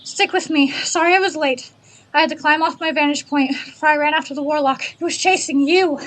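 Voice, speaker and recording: A woman's voice: sits high, a little fast, fairly bright tone. A woman playing a learned, earnest female privateer in a fantasy audio drama, with a precise, lecturing delivery. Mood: brisk, assured.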